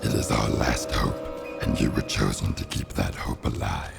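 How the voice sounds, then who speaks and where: Extremely deep, gravelly ancient oracle statue. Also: male voice, fairly even intonation, heavily acted. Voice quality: gravelly, booming. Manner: slow-burning, portentous, formal. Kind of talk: epic video game cutscene acting. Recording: music under the voice. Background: music.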